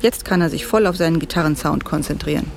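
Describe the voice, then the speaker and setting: A man's voice: on the high side, quick pace, creaky. A man reading scripted narration for a radio feature. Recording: music under the voice. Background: music.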